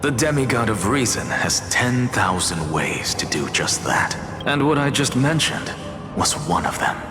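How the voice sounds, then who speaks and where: An actor playing a proud, theatrical demigod scholar of reason in a video game, speaking in a measured, self-assured way. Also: male voice, medium pitch, sing-song. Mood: smug, theatrical. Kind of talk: dramatic game voice acting. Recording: echoey hall reverb with loud music. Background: loud music.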